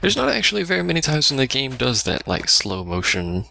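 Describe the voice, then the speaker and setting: A man's voice: mid-range voice, huge pitch swings, fairly quick, gravelly, bright, forceful tone, soft background music. A man giving casual, dry and sarcastic commentary on a video game he is playing. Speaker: let's play commentator.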